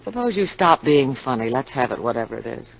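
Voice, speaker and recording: An actress playing a cold, seductive, scheming murderess with intense melodrama in a 1950s radio drama. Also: female voice, very deep voice, sing-song, brisk, creaky, exaggerated voice. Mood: guarded, cool. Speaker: actor. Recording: dull old radio recording.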